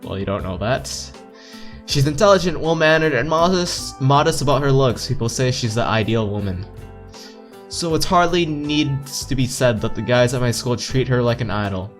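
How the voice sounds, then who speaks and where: A man's voice: mid-range voice, expressive, moderate pace. A man reading visual novel text aloud as a let's player, stumbling over lines and repeating words, with joking asides. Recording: game music behind voice.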